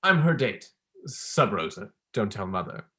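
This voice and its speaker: A man's voice: fairly low pitch, very animated, unhurried, a bit bright. Young man acting a smug academic, swooping intonation. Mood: gossipy, cheeky. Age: young adult.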